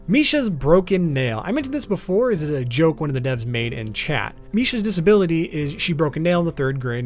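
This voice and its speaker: A man narrating an explainer video about a visual novel. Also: on the high side.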